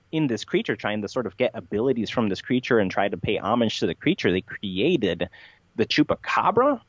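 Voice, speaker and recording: A man's voice: medium pitch, no room sound, muffled recording. A man being interviewed in a casual conversation, telling things in a rambling, matter-of-fact way. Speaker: podcast guest, interviewee.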